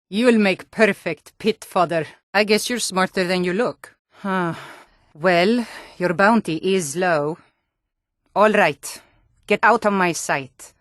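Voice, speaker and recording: A woman's voice: lowish voice, no echo, studio quality, close to an everyday voice. An actress voicing a tough Nord guard and bandit woman in a fantasy video game, stern, threatening and taunting. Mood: stern, commanding, then stern, grudging. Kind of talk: video game character voice lines.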